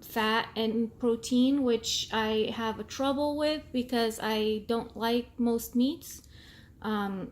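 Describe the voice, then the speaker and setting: Woman, 30s, Portuguese accent, native Portuguese speaker, hesitant, many ums, casual vlog. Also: mid-range voice. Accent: Portuguese-accented English. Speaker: vlogger. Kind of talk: casual talking-to-camera vlog.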